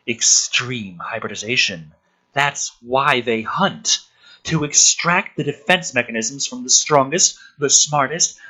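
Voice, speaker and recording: A man reading the part of an intense scientist earnestly explaining a theory from a film script, in a measured, hushed, deliberate way. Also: medium pitch, slightly rough, pitched below the performer's own voice, exaggerated voice, low-quality recording.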